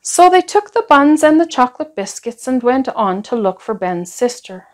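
High-voiced, animated woman reading stories. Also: moderate pace. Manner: expressive, acts out dialogue. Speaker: storyteller reading a picture book. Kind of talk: animated children's story read-aloud.

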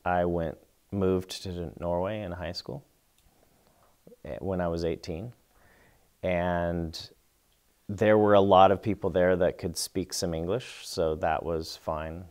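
A middle-aged man seated in a small studio, answering interview questions in a thoughtful, measured way with pauses and restarts. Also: low voice, steady tone, a little mellow. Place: indoor studio before a plain backdrop.

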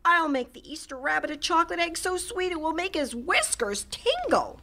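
Storybook role: a woman playing a hopeful boy bunny, squeaky high and lively. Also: moderate pace, full character voice. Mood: excited. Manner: high, bright, excited. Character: eager young male bunny, cheerful and hopeful.

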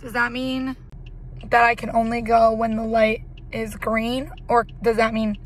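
A woman's voice: fairly high pitch, flat delivery, measured pace, low-quality recording. A young woman in her twenties chatting casually while she drives, rambling and poking fun at herself, recorded on a phone camera inside her car. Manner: rambling, self-mocking, dramatic sighs. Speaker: vlogger. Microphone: phone camera on the dashboard. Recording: loud road and engine noise.

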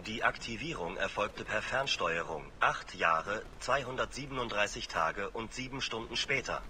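Casting: emotionless game hologram computer, low and sharp, formal and precise, faint noise behind. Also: male voice. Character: emotionless male holographic ship computer reporting data.

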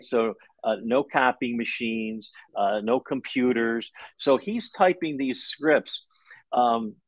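A man's voice: mid-range voice, dry recording. Middle-aged American man, dry close sound. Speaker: guest author. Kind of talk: conversational interview storytelling. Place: living room at home, indoors. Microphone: video call.

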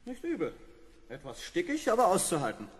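Sardonic astronaut, fairly high voice, alert, brisk, radio play, reverberant. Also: male voice, wildly swooping pitch. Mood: curious. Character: alert, wry male astronaut. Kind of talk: 1970s German radio play acting. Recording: reverberant hall with background noise.

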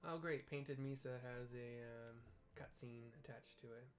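A man's voice: mid-range voice, steady tone, a little slow. A middle-aged man giving casual, rambling commentary as he streams a game. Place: small room at home. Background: game music.

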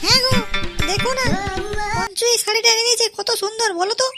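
Someone putting on a shrill whiny village wife. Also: female voice, fairly even intonation, fast talker, over loud music. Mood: fretting.

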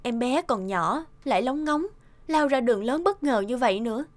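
A woman playing a sharp-tongued but caring young mother who minds a repair shop, in an expressive animated cartoon dub. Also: a little high, moderate pace, close to an everyday voice. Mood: worried, grateful. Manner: expressive, scolding or fussing. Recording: music behind the voice.

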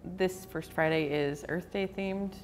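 A woman's voice: on the low side, steady tone, slow pace, slight background noise. An American woman, around forty, chatting casually as a co-host on a panel show. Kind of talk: casual chat. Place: indoor cafe at a table.

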